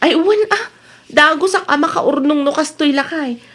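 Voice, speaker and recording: A woman playing a chatty, evasive village wife in an Ilocano comedy audio drama, exclaiming and quick to retort. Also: high voice, close to an everyday voice.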